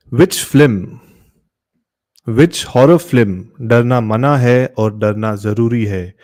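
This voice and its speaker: Mellow-voiced Indian man hosting. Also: lowish voice. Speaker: horror story show host. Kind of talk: casual show hosting addressed to listeners.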